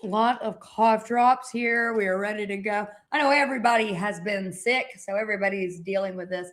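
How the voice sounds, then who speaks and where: A woman with a mid-range voice introducing a free-form live discussion. She sounds upbeat and steady and keeps the conversation moving along. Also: medium pitch, moderate pace. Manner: upbeat, keeps the show on track. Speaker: co-host. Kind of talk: casual live-stream chat.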